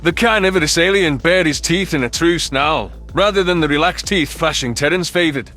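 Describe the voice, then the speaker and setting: A man's voice: on the high side, normal speed, sharp tone, faint music behind. A man narrating a scripted first-person science fiction story.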